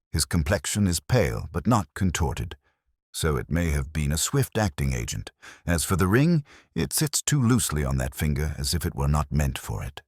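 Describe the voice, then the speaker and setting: A male reader plays a detective's earnest Victorian companion in an audiobook. The voice is very deep and dry, and he reasons carefully and formally with varied intonation. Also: slightly rough, no room sound, full character voice. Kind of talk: audiobook character dialogue.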